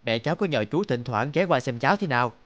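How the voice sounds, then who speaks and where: A man plays a genial village uncle in a cartoon fairy tale. His voice is mid-pitched and friendly, the talk of a hard-working neighbour. The sound is slightly muffled. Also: expressive, quick pace, dry recording, lightly acted. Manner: genial, avuncular.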